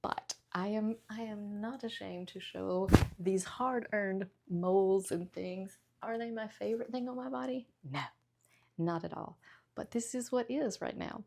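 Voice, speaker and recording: A fifty-something woman talks her way through a try-on haul in a chatty, self-deprecating way, laughing often. Her voice sits at a middle pitch with a noticeable gravelly fry. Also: a little slow, a little mellow, slight background noise. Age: 50s. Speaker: fashion vlogger. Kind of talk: casual try-on haul chat.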